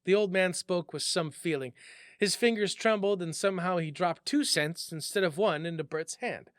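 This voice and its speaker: A man giving an expressive, theatrical storybook narration as a story reader. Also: high-pitched, moderate pace, no echo, studio quality.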